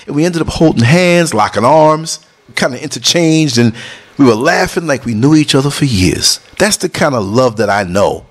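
Vlogger, middle-aged man, casual but preachy, leaps between high and low tones. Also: expressive, moderate pace. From New York City. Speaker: vlogger and podcast host. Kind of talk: casual talk over concert footage.

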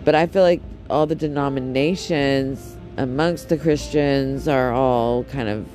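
A man with a deep, raspy voice, chatting casually and candidly on his solo podcast, with frequent ums and self-corrections. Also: unhurried, a little mellow, faint music behind. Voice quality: deep and raspy, especially in the morning. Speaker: podcast host.

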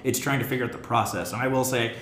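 A man with a mid-pitched voice hosts a relaxed podcast chat in a very roomy space. He speaks as a director among peers, slipping into imagined quotes to make his points. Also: fast talker. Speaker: podcast host and theater director. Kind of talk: casual podcast discussion. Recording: roomy, echoey room sound.

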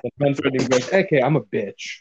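A man's voice: mid-range voice, a little fast, dull audio, noisy background. A man co-hosting a podcast, talking bluntly and teasing with lots of swearing. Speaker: podcast co-host. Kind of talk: casual banter.

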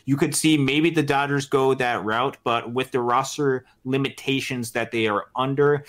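Man talking baseball, measured and earnest. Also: medium pitch, fairly even intonation, a bit bright. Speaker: sports podcast co-host. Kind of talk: casual sports commentary.

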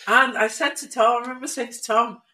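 A woman's voice: a little high, fairly quick. A woman in her thirties, a guest sibling, chatting casually on a podcast and laughing often as she tells stories. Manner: relaxed storytelling, laughs often.

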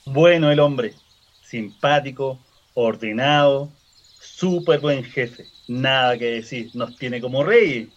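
A man's voice: medium pitch, slow, dull audio, natural voice. A man with a Chilean accent playing a chatty, teasing cemetery worker in a radio drama. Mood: matter-of-fact. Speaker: actor. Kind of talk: radio drama acting, colloquial dialogue.

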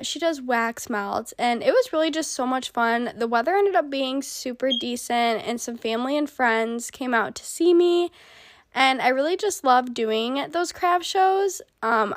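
A woman's voice: a little high, dry recording, studio quality. A young woman in her twenties hosting a casual solo podcast, congested from a cold, chatty with frequent ums. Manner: chatty, many ums, laughs often. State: sick, congested. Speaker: podcast host.

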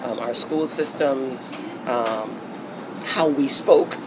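Bahamian male interview guest, reflective, halting with ums, mid pitch, steady background hum. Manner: reflective, many ums and restarts. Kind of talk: casual interview talk.

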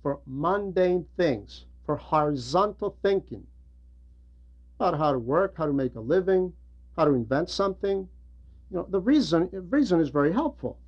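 A man's voice: fairly high pitch, expressive, moderate pace, dull audio. A man delivering an explanatory religious homily in a didactic way, repeating phrases for emphasis. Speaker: preacher and religious teacher.

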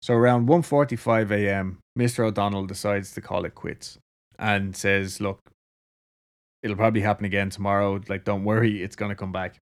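A man's voice: on the low side, little pitch movement, normal speed. Laid-back Irish man telling a story. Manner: laid-back, laughs often, swears casually. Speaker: podcast co-host. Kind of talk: casual storytelling chat.

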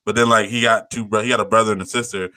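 A man's voice: lowish voice, fairly even intonation, racing, pushed and bright, no echo. A young man co-hosting a podcast, chatting in an easygoing, slangy, rambling way. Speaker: podcast co-host. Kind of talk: casual chat.

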